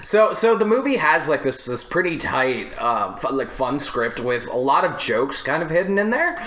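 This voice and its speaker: A man hosting a casual podcast, talking in an animated, crude, joking way and swearing freely. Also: a little high, normal speed. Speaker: podcast host. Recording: roomy echo, faint background noise.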